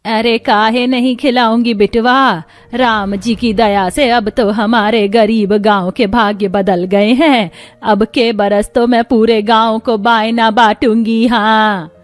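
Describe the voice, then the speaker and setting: A woman's voice: a little high, steady tone, takes it slowly, few pauses. A woman voicing a kindly rustic village aunt in a cartoon story, with colloquial country phrasing. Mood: cheerful, generous.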